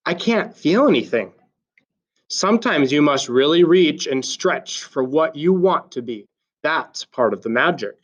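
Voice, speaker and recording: A man reading a children's story aloud like a teacher, with expressive, deliberate emphasis. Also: a little high. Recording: slightly dull, lo-fi sound.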